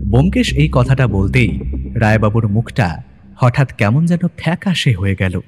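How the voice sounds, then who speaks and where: Husky, expressive male story narrator. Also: mid-range voice, moderate pace. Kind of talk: scripted dramatic story narration. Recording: music bed under narration.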